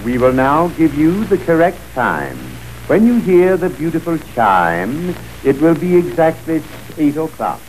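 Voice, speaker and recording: A man's voice: on the high side, big pitch swings, unhurried, few pauses, a little hiss or hum, low-quality recording. A man making a formal station announcement in old-time radio style.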